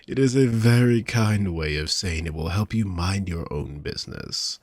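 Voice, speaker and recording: A man playing a doting, courtly vampire shopkeeper in an intimate audio roleplay, with a husky voice and gentle, flowery, formal speech addressed to the listener. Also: sits low, moderate pace, no room sound. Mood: smooth, teasing.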